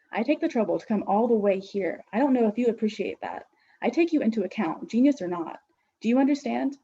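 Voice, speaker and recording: A woman voicing Death, an ancient female skeleton who lectures with weary scorn and grand airs, her husky voice on a dull lo-fi recording. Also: a little high, mellow.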